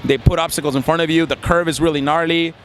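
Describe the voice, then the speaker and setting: Earnest young man, slightly high voice. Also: steady tone, fast talker, slight background noise. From Mexico. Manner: earnest, reflective, many fillers. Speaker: interviewee, competitive gamer and law student. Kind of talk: casual interview.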